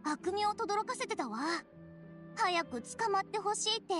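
A woman's voice: very high-pitched, animated, normal speed, a bit bright, faint music behind. An actress playing an eager, dramatic young female news reporter in an anime game, with a theatrical, inquisitive manner. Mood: earnest. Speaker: character voice actor.

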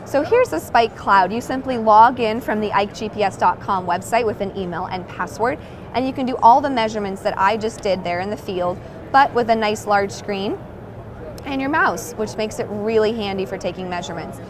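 A woman's voice: medium pitch, moderate pace. A woman in her thirties giving an upbeat product demonstration at a trade show booth on a lapel mic. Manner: upbeat, clear sales presentation. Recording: trade show floor noise behind.

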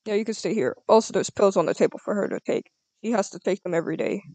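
A casual, halting speaker improvising a part in a video game roleplay. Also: medium pitch, warm tone, no echo. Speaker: player acting as a doctor in a game roleplay.

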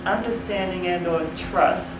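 A woman with a lowish voice asking the panel a careful question with "um" pauses. She is distant from the microphone. The meeting room is echoey, with an air-conditioner hum underneath. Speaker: audience questioner.

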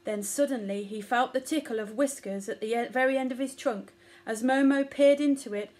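A woman's voice: on the high side, brisk, darkish tone. A woman in her thirties narrating a children's story expressively. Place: small living room or bedroom, indoors.

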